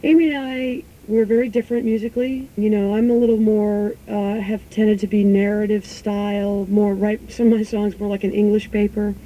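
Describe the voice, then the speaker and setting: A woman musician answering interview questions in a thoughtful, reflective way, sometimes pausing to search for words. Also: medium pitch, little pitch movement, warm tone, low-quality recording.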